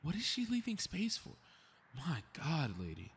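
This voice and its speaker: A man's voice: fairly high pitch, huge pitch swings, measured pace, vocal fry, fairly bright tone, slight background noise, heavily acted. A man playing a cocky, snarky superhero in a scripted audio roleplay, muttering to himself with sarcastic exasperation. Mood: exasperated. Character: cocky, snarky, meddling invisible male superhero grumbling to himself.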